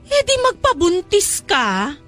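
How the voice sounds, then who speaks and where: A woman's voice: very high-pitched, wildly swooping pitch, takes it slowly, fairly bright tone, soft background music, exaggerated voice. An actress playing a pushy, scheming middle-aged mother in a Tagalog audio drama, coaxing and insistent. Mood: coaxing, sly.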